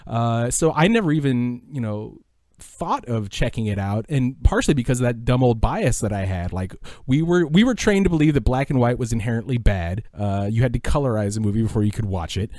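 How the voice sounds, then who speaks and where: A man's voice: medium pitch, animated, a little fast, a little mellow, no room sound, clean studio sound. A middle-aged American man giving reflective, enthusiastic answers as a podcast guest, speaking earnestly and with emphasis. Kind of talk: reflective, enthusiastic interview talk.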